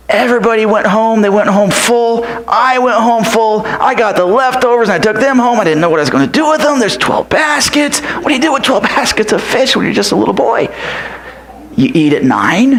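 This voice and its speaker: A man's voice: high voice, a bit bright. A man voicing an eager, food-loving eight-year-old boy who tells his story breathlessly and whispers when he counts. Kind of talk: character monologue storytelling.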